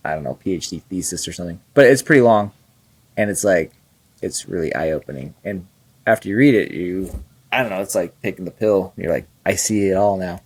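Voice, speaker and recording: A man's voice: low-pitched, lively intonation, a little fast, breathy edge, no echo. A man co-hosting a podcast in casual chat, telling stories in a loose, rambling way. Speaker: podcast co-host, fighter pilot.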